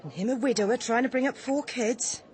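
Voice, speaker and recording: An actress playing an opinionated, disapproving publican's wife with an English accent in a radio drama, tart and gossipy. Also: female voice, fairly high pitch, fairly quick, darkish tone, some chatter behind, close to an everyday voice.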